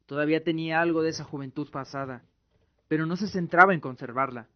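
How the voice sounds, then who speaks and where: A man narrating a scripted fantasy radio drama in a solemn, measured way. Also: a little high. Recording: dull lo-fi recording with music. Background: music bed.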